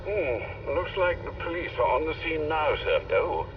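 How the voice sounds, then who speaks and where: Old butler in a game, polite and lilting, bit high, talking over a radio. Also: male voice, sing-song, measured pace, recorded from a distance. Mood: calm, intrigued. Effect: radio link. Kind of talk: cinematic game acting. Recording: muffled radio link with clear noise.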